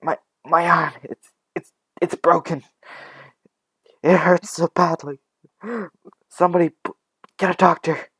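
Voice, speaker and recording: A man's voice: fairly high pitch, steady tone, takes it slowly, long pauses, slightly rough, no room sound, pitched above the performer's own voice. A man voicing an injured, frightened woman caregiver in a told story, stammering and crying out in pain. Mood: agonized, panicked. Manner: stammering, breathless outcries. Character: injured female caregiver, terrified, pained, accusing a child.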